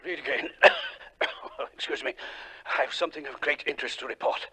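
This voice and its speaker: An actor playing a grave, self-important psychic investigator in a 1940s radio drama, reporting formally and precisely. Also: male voice, medium pitch, normal speed, sharp tone, husky, heavily acted, poor audio. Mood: grave, unsettled. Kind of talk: 1940s radio suspense acting. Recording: vintage 1940s radio recording.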